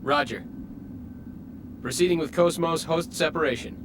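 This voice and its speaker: A young male technician from a video game, played by an actor, with a slightly high voice. He is obliging and businesslike, and there is clear noise behind him. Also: moderate pace, natural voice. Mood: helpful, focused. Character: obliging young male lab technician assisting his chief.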